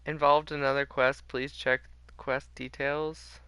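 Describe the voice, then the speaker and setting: Bright-voiced man chatting on a game stream. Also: medium pitch, little pitch movement, a little slow, smooth, no room sound. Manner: giggly, playful, rambling. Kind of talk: casual livestream chat. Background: faint game music.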